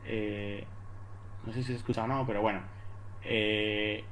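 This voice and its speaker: A man with an accent from Spain giving an informal academic presentation, haltingly, repeating words and adding little tag questions. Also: lowish voice, level tone, fairly bright tone, rough recording. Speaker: university lecturer presenting at an academic conference. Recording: noticeable background noise.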